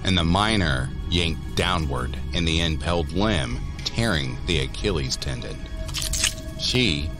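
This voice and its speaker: A man narrating a scripted horror story in a deliberate, measured manner. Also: rumbling low, animated, loud music behind the voice.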